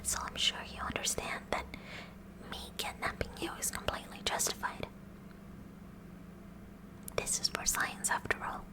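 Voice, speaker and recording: A woman playing a kooky, eager teenage science club president in a breathy whispered ASMR roleplay, speaking in a deliberate, mock-official way. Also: lightly acted, low-quality recording. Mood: smug, matter-of-fact. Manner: slow, deliberate, mock-official with awkward stammers.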